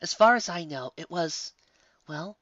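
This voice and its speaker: A woman's voice: fairly low pitch, lively intonation, a little slow, a bit bright, no room sound, highs cut off, voice pushed up. A woman voicing an earnest, bookish young female unicorn in a storybook, with a hesitant, explanatory way of talking. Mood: sheepish, explaining.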